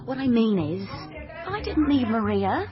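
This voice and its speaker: Bookish young dreamer, dull-sounding with music. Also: female voice, a little high, very animated, normal speed. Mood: resolute, wry. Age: young adult. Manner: dramatic storytelling, self-deprecating. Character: bookish, awkward 21-year-old dropout woman, daydreaming of crime novels. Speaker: narrator and lead character. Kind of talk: radio drama, first-person noir-flavoured narration and dialogue.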